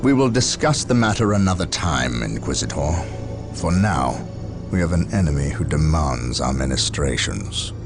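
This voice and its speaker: Resolute sci-fi game captain: extremely low, commanding, animated delivery, music behind. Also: male voice, normal speed. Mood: grim, resolute. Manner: grave, commanding. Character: stern, proud, resolute male space marine captain. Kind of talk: video game cutscene acting.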